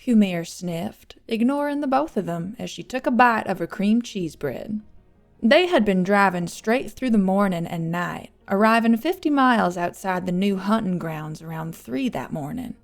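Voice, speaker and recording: A woman's voice: medium pitch, expressive, normal speed, creaky, soft background music. A woman reading scripted audiobook narration as the storyteller.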